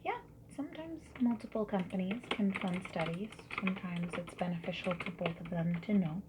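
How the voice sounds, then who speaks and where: A woman doing a soft-spoken ASMR roleplay as a survey interviewer, gently and politely asking questions in a breathy voice that often drops into whispers. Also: medium pitch, moderate pace. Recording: lo-fi muffled audio with noise. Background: mechanical keyboard typing.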